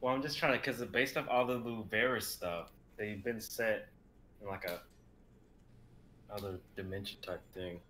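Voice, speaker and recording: A man's voice: lowish voice, normal speed, sharp tone. A man in his twenties chatting casually over a noisy video call. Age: 20s. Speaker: stream cast member. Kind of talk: casual chat.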